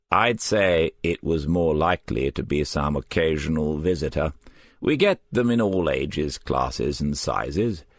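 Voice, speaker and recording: A man voicing a proud, clever elderly care home resident in an audiobook, speaking in a formal, measured, wry manner. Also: very deep voice, no room sound, exaggerated voice.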